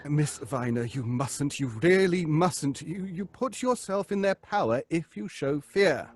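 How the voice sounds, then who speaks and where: An actor playing an earnest, hesitant gentleman who leads a séance and admonishes others in an old-fashioned radio horror drama. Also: on the high side, animated, a little slow. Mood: urgent.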